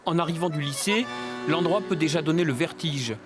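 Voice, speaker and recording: A man narrates a television report in a measured, storytelling voice. His voice sits a little high for a man, and a clear music bed plays under the narration. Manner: measured, even storytelling delivery. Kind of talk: scripted TV narration. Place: voice-over booth.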